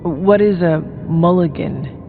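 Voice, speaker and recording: A calm, earnest male hero with a high voice for a man. He speaks formally and literally, as a serious leader in game dialogue. The recording is narrow and muffled, with prominent music underneath. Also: slow pace, vocal fry. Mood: earnest, questioning. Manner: formal, literal, avoids contractions. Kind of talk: video game dialogue acting.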